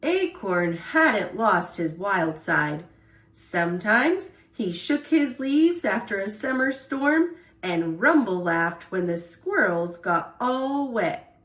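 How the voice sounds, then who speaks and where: A woman storyteller reading a children's picture book aloud in an expressive, deliberate way. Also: medium pitch, reverberant.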